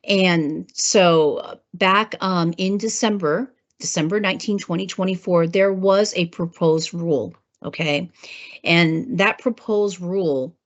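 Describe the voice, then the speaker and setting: A woman with a hoarse voice from a cold, presenting a government training webinar, clearing her throat and using many fillers. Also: fairly low pitch, measured pace. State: hoarse, getting over a cold. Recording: muffled lo-fi webinar audio.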